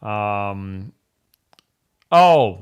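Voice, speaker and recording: A man's voice: lowish voice, animated, drawn-out words, clear, resonant tone, muffled recording. Candid man chatting through viewer questions. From Germany. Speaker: host answering viewer questions. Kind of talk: casual live Q&A chat.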